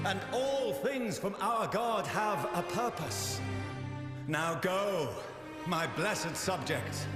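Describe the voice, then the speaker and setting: A zealous, sanctimonious high priest delivers a theatrical game sermon in a cavernous, reverberant hall. His delivery is extremely slow and grand, swinging widely in pitch, with loud music behind him. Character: zealous male high priest-king preaching against witches and heretics.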